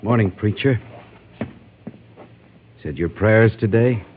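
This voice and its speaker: A man's voice: lowish voice, slow, phone-quality sound. An actor playing a menacing graying gunman in a radio western, with an American accent and drawling, folksy threats. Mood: coldly menacing. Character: menacing slight graying Old West gunman bent on killing. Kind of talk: old-time radio western acting. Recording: thin, hissy vintage radio recording.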